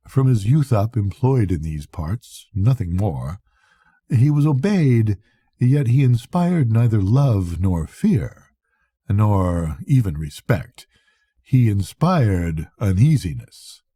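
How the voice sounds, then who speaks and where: An older man reads a classic novel aloud as a storyteller, in a measured, reflective bedtime manner with a soft, husky voice. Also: on the low side, no room sound, clean studio sound. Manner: measured, reflective first-person narration. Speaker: storyteller reading to grandchildren. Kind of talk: expressive bedtime audiobook reading of a classic novel.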